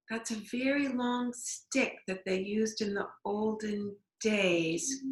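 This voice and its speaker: A woman reading a storybook aloud to young children, deliberately, with simple explanatory asides. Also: medium pitch, a bit bright. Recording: echoey room.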